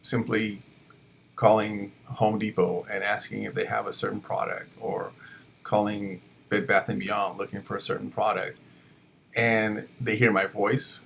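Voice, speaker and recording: A man's voice: lowish voice, flat delivery, measured pace, gravelly, a bit bright, a little hiss or hum. A college faculty man reflecting on his teaching in a hesitant way, with many fillers and restarts. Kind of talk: reflective personal talk.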